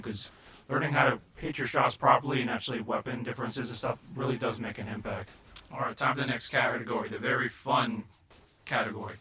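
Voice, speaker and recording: A man's voice: mid-range voice, bright, forceful tone, reverberant, a little hiss or hum, rough recording. A man giving casual gaming commentary in a chatty, repetitive way, his voice sounding robotic. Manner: chatty, keeps repeating "not gonna lie". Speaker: gaming video creator.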